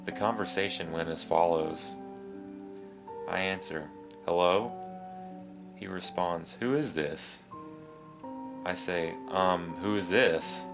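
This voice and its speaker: Male horror narrator, notably deep, measured scripted storytelling over a prominent musical bed. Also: vocal fry. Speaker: narrator of creepy stories. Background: guitar music.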